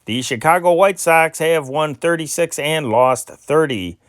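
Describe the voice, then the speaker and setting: A man hosting an amateur reading of vintage newspaper news and listings, with a halting delivery that stumbles over names. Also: fairly high pitch, a bit bright, no room sound, clean studio sound.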